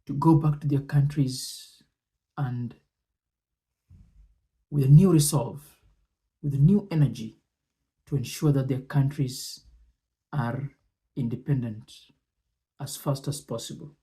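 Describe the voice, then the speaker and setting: A man with a mellow, mid-pitched voice reads and explains at a very unhurried pace. He is deliberate and measured, setting phrases apart with long pauses. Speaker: presenter and commentator. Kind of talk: reading speech excerpts with explanatory commentary.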